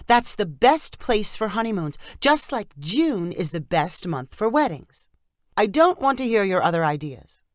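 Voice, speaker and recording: A woman voicing an excited, stubborn young bride in a storybook reading, with an eager and insistent manner. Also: medium pitch, measured pace, dry recording, studio quality, pitched above the performer's own voice. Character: excited, stubborn young bride set on her dream honeymoon.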